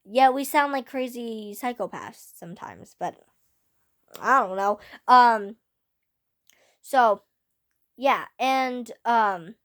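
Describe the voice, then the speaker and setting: A teenage girl ranting casually about a film to camera, rambling with many fillers, her voice slightly hoarse. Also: a little high, slow, pauses often, fairly bright tone. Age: teens. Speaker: vlogger. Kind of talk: casual fan rant to camera.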